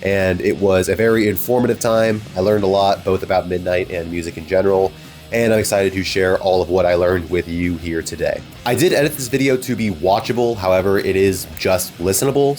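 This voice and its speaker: A man's voice: low-pitched, a little mellow. A man with a nasal, congested voice from being sick, talking as the host of a fan interview channel. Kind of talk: enthusiastic fan interview and vlog talk.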